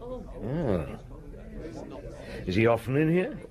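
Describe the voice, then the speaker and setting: An actor playing a measured, probing police chief inspector in a radio drama, dry and reserved, asking terse questions. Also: male voice, mid-range voice, huge pitch swings, a crowd in the background. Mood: inquisitive. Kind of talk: radio drama dialogue and narration.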